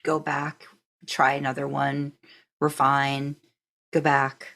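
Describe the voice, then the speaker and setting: A woman's voice: rumbling low, flat delivery, slow pace, a bit bright. A woman interviewing a guest on a podcast in a casual, curious and encouraging way.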